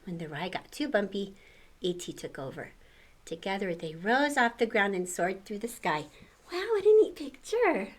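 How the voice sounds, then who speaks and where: A woman's voice: mid-range voice, big pitch swings, normal speed. An older woman reading a storybook aloud to children, expressively, with exclamations and questions to the listener.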